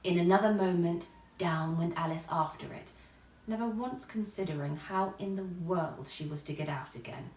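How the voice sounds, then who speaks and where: A woman reading a children's story as expressive scripted narration, with a theatrical, deliberate storytelling manner. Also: on the low side, a little hiss or hum. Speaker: storybook reader. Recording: roomy echo, distant microphone.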